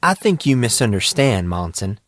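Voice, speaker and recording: A man voicing a calm, eccentric teenage boy genius in an audiobook, cheerful and cryptic in an assured way. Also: fairly low pitch, moderate pace, no echo, studio quality. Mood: serene intensity. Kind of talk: audiobook character dialogue.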